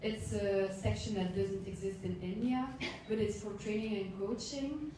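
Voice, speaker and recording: A woman's voice: medium pitch, fairly even intonation, unhurried. A young woman from Belgium whose first language is Dutch, giving a workshop session to a class in simple, careful English and asking the room questions. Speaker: intern presenter. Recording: echoey room, distant mic, faint noise.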